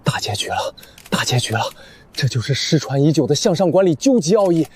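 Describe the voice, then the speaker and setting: A man voicing a gleeful, excitable office sidekick in an animated comedy drama, husky and mock-grand. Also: fairly high pitch, brisk, slight background noise, close to an everyday voice.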